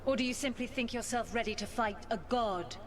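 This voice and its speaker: A woman's voice: on the high side, a little fast, noisy background, lightly acted. A woman voicing a proud, bitter ancient goddess spirit in a video game, speaking formally and with command. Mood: imperious, threatening. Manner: haughty, formal, commanding speech. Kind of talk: dramatic video game acting.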